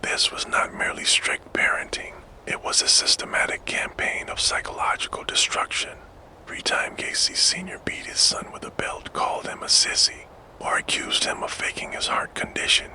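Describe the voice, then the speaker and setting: A man narrating true crime in a soft, fully unvoiced ASMR whisper, slow and measured, close to the microphone. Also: low-quality recording.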